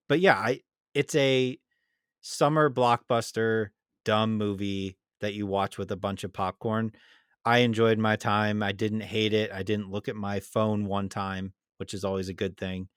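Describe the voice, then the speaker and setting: A man's voice: lowish voice, fairly bright tone, smooth, no room sound, clean studio sound. A man co-hosting a podcast, giving a casual review in an easygoing, plain-spoken way. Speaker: podcast co-host.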